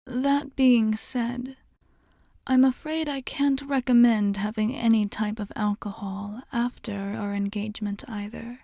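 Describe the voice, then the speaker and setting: A woman playing a courteous, soothing vampire bartender in an ASMR roleplay, speaking softly and formally, often dropping to a whisper. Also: fairly high pitch, fairly even intonation, creaky, no room sound, clean studio sound. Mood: calm, solicitous. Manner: formal, measured, gently reassuring.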